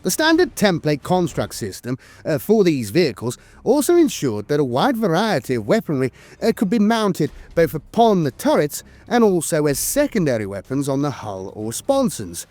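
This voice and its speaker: A man reading scripted lore narration as an in-world chronicler, formal and measured, with frequent hesitations. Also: a little high, wildly swooping pitch, a little mellow, dry recording.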